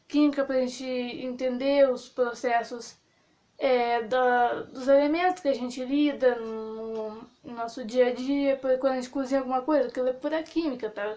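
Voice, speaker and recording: A young woman talks straight to camera in a relaxed vlog, sharing her view. Her voice sits high, and she meanders with frequent fillers and self-corrections. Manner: rambling with many fillers and restarts. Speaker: vlogger. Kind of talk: casual opinion monologue.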